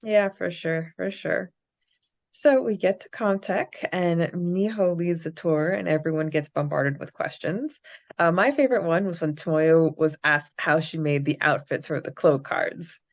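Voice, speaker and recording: Podcast co-host: young woman, low voice, recap and book-club style, slightly lo-fi mic. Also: measured pace. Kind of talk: reading a recap, then casual book-club chat.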